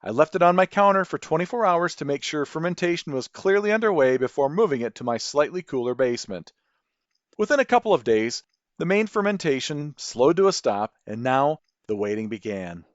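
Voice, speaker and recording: A man with a Midwestern American accent hosts a podcast from a script. His fairly high voice swings up and down in a lively way, with careful, folksy phrasing. The recording is dry and slightly dull. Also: brisk, no echo. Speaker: podcast host. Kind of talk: scripted narration.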